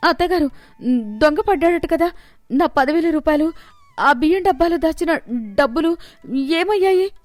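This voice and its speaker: A woman's voice: very high-pitched, moderate pace, pauses often, no room sound, soft background music, voice pushed up. A woman voicing an anxious, flustered daughter-in-law in a story, fretful and pleading. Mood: frantic.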